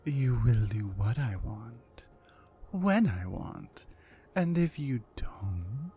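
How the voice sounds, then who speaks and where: A woman playing a towering, mean, dominant orc captor in an ASMR roleplay, commanding and deliberate. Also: extremely low pitch, warm tone, heavily acted. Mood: menacing. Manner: menacing, commanding, slow and deliberate.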